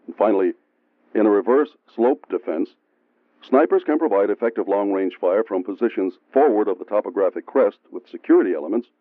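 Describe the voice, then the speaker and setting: A man giving steady, measured scripted narration for a military training film. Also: fairly low pitch, dry recording. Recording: tinny phone-like sound from an old training film, faint music.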